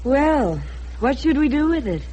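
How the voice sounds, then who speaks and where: A woman's voice: sits high, big pitch swings, a little slow, dark tone. An actress playing a poised, candid young rich woman in a radio drama, speaking directly with cool composure. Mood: concerned, supportive. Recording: noisy vintage radio drama recording.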